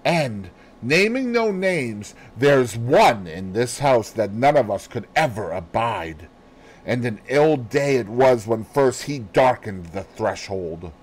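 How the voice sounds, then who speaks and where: A man's voice: medium pitch, sing-song, takes it slowly, slightly rough, full character voice, rough recording. A man voicing a loyal, indignant housemaid who speaks emphatically and respectfully in an audiobook. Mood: fiercely indignant. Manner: emphatic, deferential. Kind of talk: audiobook character voice.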